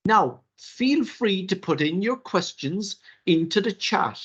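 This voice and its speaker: An older Irish man whose first language is Irish Gaelic gives a webinar workshop in an animated, rhetorical way, with theatrical asides, heard over a video call. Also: sits high, takes it slowly, breathy edge. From Ireland. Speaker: workshop presenter. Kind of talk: webinar lecture. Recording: dull lo-fi webinar audio.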